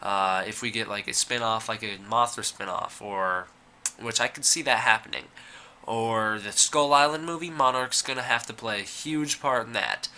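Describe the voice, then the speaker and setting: Bright-voiced young man with a cold. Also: mid-range voice, moderate pace. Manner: rambling, opinionated, frequent "uh" and "like". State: fighting a cold. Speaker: YouTuber. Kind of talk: casual opinion commentary.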